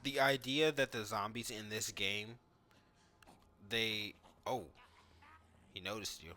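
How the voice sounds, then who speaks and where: Bright-voiced man commentating on gameplay. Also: lowish voice, steady tone, slow pace. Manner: informal, thinking aloud. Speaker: gaming video host.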